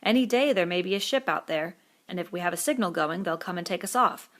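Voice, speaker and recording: A woman's voice: on the low side, lively intonation, quick pace, a bit bright. A woman voicing an earnest, firm boy who leads the group. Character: earnest, responsible schoolboy chief.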